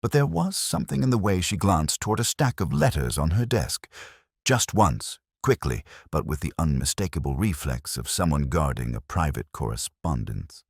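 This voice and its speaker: A man narrating a detective story in the first person, in a measured, literary and brooding manner. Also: extremely low pitch, big pitch swings, creaky, breathy edge, no room sound, clean studio sound.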